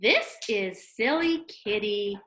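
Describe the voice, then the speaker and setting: Woman, early childhood teacher, unhurried pace, simple child-directed questions, read-aloud lesson, slight background noise. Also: medium pitch, animated, fairly bright tone.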